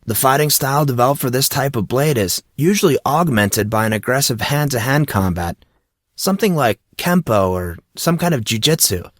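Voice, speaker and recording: A man's voice: medium pitch, expressive, moderate pace, no room sound, studio quality, voice pushed up, lightly acted. A man voicing a laid-back teenage boy who is keen on martial arts and talks in casual dude-and-bro slang.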